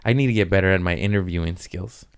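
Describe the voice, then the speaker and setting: Man, deep voice, podcast host, casual comic chat, slangy, profane, rambling. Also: quick pace, clean tone.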